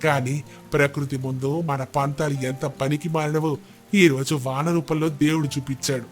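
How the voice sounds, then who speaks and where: A man plays a once-proud old village husband, now humbled, in a storybook tale. His voice is a bit high, earnest and apologetic, with soft music behind it. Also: little pitch movement, normal speed, natural voice. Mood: ashamed. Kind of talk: moral storybook character dialogue.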